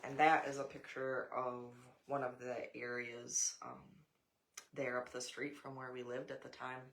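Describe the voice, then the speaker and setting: A woman's voice: very deep voice, little pitch movement, a little slow, rough recording. An American woman reads a children's picture book aloud, adding informal asides to a young child. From United States. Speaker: storybook reader, mother.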